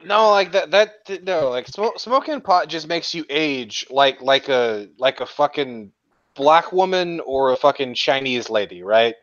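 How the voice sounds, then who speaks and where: A man's voice: fairly high pitch, lively intonation, unhurried, fairly bright tone, no room sound, highs cut off. A man in his late twenties, swearing and rambling in casual podcast chat. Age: 20s. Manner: crude, swears a lot, rambling. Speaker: podcast co-host.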